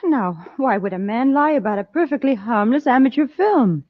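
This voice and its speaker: An actress playing an efficient, polite woman security officer in an old-time radio space serial, crisp and courteous. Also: a little high, animated, normal speed, dry recording. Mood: businesslike. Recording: dull lo-fi old radio recording.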